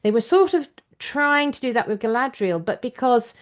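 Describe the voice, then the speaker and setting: A woman's voice: a little high, animated, moderate pace. A woman hosting a conversational interview, explaining her views in an analytical way. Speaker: podcast host and interviewer. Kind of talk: conversational book and screen discussion.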